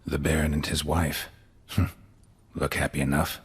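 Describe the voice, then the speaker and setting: An actor playing a terse, world-weary monster hunter in a video game, with a low gravelly murmur and clipped, deadpan delivery. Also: male voice, normal speed, exaggerated voice. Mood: pensive, muttering. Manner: clipped, deadpan, muttering observations.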